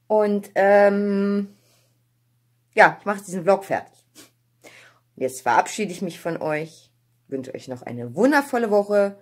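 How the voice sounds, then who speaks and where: A woman in her thirties from Germany chats casually to her vlog, rambling and thinking aloud, recorded on a phone at arm's length indoors at home. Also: mid-range voice. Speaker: vlogger. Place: indoors at home, kitchen, hallway and bathroom.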